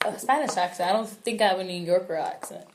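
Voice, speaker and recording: A young woman chats in a casual podcast. She tells animated stories and laughs often. A faint hiss of noise sits under her voice. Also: on the low side, quick pace. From New York. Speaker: podcast co-host. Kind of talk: casual chat.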